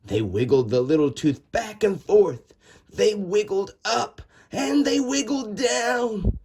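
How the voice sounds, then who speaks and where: A man reading a children's storybook aloud in an expressive, dramatic way with drawn-out words and pauses. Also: sits high, slightly rough. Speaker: storybook reader. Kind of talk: animated children's read-aloud narration.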